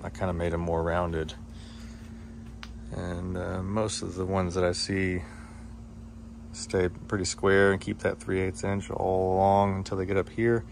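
A man casually talking through his boatbuilding project in a home garage workshop, relaxed and easygoing, recorded on a handheld phone. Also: low voice, a little hiss or hum. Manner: relaxed, easygoing, thinking aloud.

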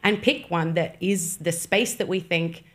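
A young woman answering interview questions in a studio, measured and explanatory with frequent pauses. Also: fairly low pitch, a bit bright. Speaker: guest on church music. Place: studio set with armchairs. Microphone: boom-mounted podcast mic.

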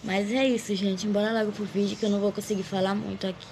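A young woman opens her vlog with a casual hello, her voice hoarse and gravelly from a cold. She speaks close to a phone while lying down, and a faint hiss sits under her words. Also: medium pitch, level tone, fast, a little mellow. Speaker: vlogger. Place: lying down indoors. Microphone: phone held close.

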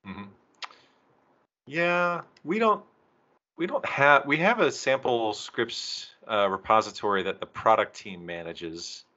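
Male online presenter, forward bright tone, casual stop-start answers, slightly dull meeting-call sound. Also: mid-range voice, takes it slowly. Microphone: video call.

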